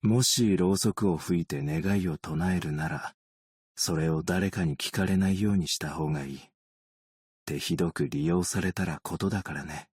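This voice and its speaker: Casting: a long-lived, world-weary male optician in a game; deep husky voice, understated, gently melancholic delivery. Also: moderate pace, dry recording, studio quality. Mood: calm, dry. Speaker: video game character voice actor. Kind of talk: scripted game character voice lines.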